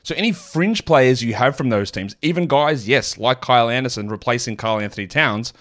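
Medium-pitched man giving fantasy sports advice. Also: animated, a little fast, a bit bright. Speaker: fantasy basketball analyst. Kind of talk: scripted sports advice segment.

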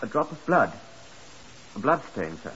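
An actor playing an earnest, dutiful young police constable in a 1950s radio crime drama, respectfully and carefully reporting. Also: male voice, mid-range voice, sing-song, normal speed, pushed and bright. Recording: muffled, hissy 1950s radio broadcast.

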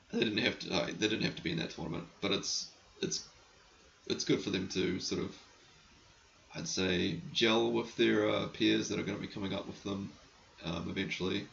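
A man hosting a casual hockey podcast and answering listener questions. He is losing his voice, clears his throat often, and talks in a rambling, frank, sometimes crude way. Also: deep voice, a bit bright, dull audio, slight background noise. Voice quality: gravelly, croaky.

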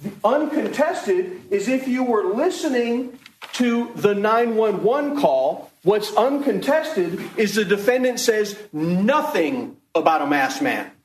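A man's voice: sits high, very animated, slow pace. A man prosecutor giving a closing argument in court, emphatic and repetitive as he accuses the defendant. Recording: roomy courtroom sound.

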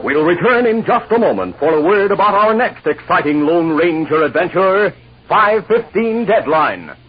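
A man's voice: high-pitched, big pitch swings, normal speed, breathy edge, faint music behind. A man announcing and narrating a 1950s radio show in a dramatic, emphatic style. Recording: dull old radio recording.

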